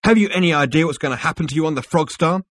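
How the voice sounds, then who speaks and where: A man playing an earnest galactic conspirator, pitched up. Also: very fast, a bit bright, dry recording. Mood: urgent, grave. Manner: emphatic, explanatory, insistent. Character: Rooster, earnest, urgent male galactic conspirator. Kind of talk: audiobook character dialogue.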